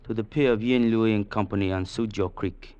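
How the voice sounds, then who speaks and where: An actor playing a proud, ruthless Chinese warlord general in an old radio drama, speaking in terse, formal, commanding phrases. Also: male voice, lowish voice, fairly even intonation, fairly quick, darkish tone, smooth, lo-fi sound, close to an everyday voice. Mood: curt, commanding. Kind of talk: 1940s-50s radio drama acting.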